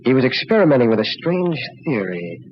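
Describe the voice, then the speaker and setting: An actor playing a grave, cautious middle-aged doctor, explaining solemnly in 1940s radio drama style. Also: male voice, medium pitch, big pitch swings, brisk, natural voice. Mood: solemn, ominous. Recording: muffled, noisy old radio recording.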